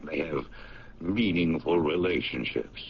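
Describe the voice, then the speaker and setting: A man's voice: rumbling low, unhurried, slight background noise. An actor playing a rambling male factory owner in a 1970s radio drama. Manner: rambling, chatty. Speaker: paint factory boss. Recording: dull lo-fi 1970s radio recording.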